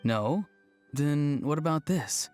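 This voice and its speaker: A man's voice: fairly high pitch, animated, slow, over music. A man playing a friendly, easygoing young craftsman with an affable, musing delivery in a video game.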